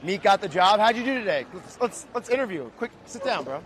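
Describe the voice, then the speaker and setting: A man hosting a casual livestream, loud and hyped as he talks straight to viewers and chats with guests. His voice sits a bit higher than most men's. Clear traffic noise from the street runs behind him. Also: very animated, fast talker. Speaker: host.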